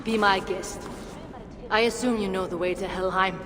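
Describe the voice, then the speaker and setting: A woman's voice: medium pitch, steady tone, normal speed, in a large hall, a crowd in the background, natural voice. A woman playing a bitter, sarcastic challenger in a video game, with cutting delivery. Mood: bitter, scornful. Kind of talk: video game cutscene acting.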